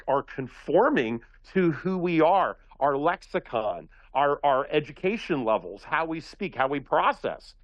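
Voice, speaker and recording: A man from Philadelphia talking in a casual radio chat, rambling and animated, with many restarts. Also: on the high side, dry recording, dull audio.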